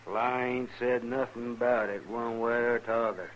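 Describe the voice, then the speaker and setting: A man's voice: medium pitch, little pitch movement, measured pace, lo-fi sound, lightly acted. A man playing a defiant cattle drive boss with a folksy, threatening drawl in a 1950s radio western. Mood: defiant, menacing. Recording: noisy 1950s radio recording.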